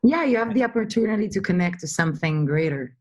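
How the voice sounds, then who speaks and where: An actress whose first language is Russian, originally from what is now Ukraine, speaking thoughtfully as a guest. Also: female voice, medium pitch, lively intonation, fast, a little mellow. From former Soviet Union, now Ukraine. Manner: thoughtful, reflective. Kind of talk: casual conversation.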